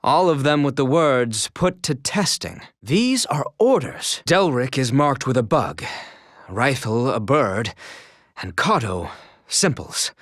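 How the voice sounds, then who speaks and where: Casting: young royal knight for a fantasy game; formal, dutiful, anxious to serve, very animated intonation, dry close recording. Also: male voice, medium pitch, slow pace, dry recording, heavily acted. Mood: grave, formal. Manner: formal, archaic, courtly diction. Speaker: video game character voice. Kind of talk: scripted fantasy game acting.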